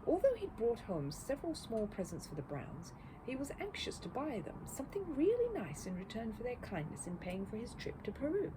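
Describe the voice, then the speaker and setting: A woman reading a children's story aloud as an expressive narrator. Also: a little high, brisk. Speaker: storybook reader. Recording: clear background noise.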